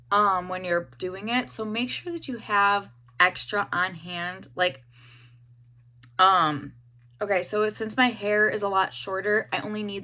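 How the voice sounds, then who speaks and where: A young woman with a hoarse voice, casually reviewing a hair dye and rambling with lots of fillers. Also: medium pitch, pushed and bright. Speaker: beauty vlogger.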